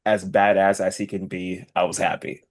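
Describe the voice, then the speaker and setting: Low-voiced man in emphatic casual chat. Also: monotone, moderate pace. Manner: emphatic, informal, mild swearing. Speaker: podcast co-host.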